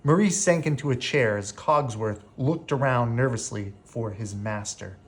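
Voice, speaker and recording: A man reading a children's bedtime story aloud as an expressive narrator. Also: medium pitch, moderate pace, breathy edge. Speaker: storybook reader.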